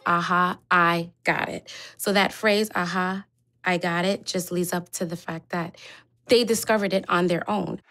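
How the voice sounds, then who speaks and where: A woman's voice: on the low side, steady tone, measured pace, a bit bright. A woman teacher explaining things in an upbeat interview.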